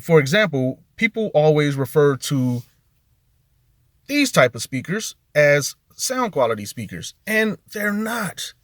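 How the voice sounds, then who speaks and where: Casual middle-aged man ranting, higher-pitched voice. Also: fairly bright tone, no echo, clean studio sound. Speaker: car audio video host. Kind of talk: casual rant to camera.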